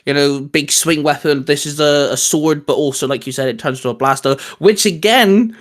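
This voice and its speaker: Higher-pitched man chatting casually. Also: moderate pace. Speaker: co-host of a fan discussion show. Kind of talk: casual chat about geeky topics.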